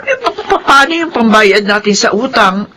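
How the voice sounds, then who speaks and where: A woman's voice: on the high side, big pitch swings, normal speed. Radio drama mother: older, anxious, hard-pressed, on a noisy track. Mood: troubled. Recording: noticeable background noise.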